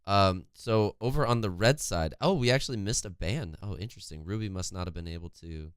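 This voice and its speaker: A young man with a worn-out, hoarse voice casting an esports match on a home stream, chatty and reading chat aloud, close to a studio mic. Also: low-pitched, brisk, no echo. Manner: chatty, reads chat aloud, laughs often. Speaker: esports caster. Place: home streaming room, indoors. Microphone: studio condenser mic close in front, headphones.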